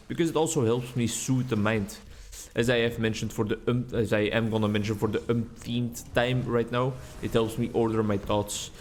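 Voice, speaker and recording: A man's voice: medium pitch, a little hiss or hum. A Dutch man, a native Dutch speaker, giving rambling, chatty gameplay commentary in English on a desk mic. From Netherlands. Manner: rambling, chatty, self-deprecating. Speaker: gaming YouTuber.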